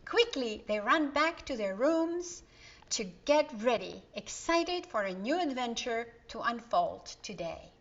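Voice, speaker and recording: A woman storyteller reading a children's tale in a gentle, sing-song way. Her voice sits a little high and rises and falls widely, with a slow, unhurried pace. The recording is dull and lo-fi. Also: lively intonation.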